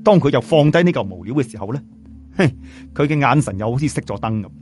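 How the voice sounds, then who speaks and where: A man's voice: mid-range voice, lively intonation, fast, darkish tone, soft background music. A man telling a story in colloquial Cantonese-accented speech, theatrical, as an audiobook storyteller. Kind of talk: animated storytelling with character voices.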